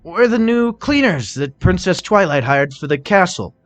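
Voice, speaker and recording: A man's voice: sits high, big pitch swings, moderate pace, faint music behind, pitched above the performer's own voice. A man voicing a timid, nervous male pony thief who talks hesitantly, in a storybook reading. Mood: nervous lying. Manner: hesitant, jittery.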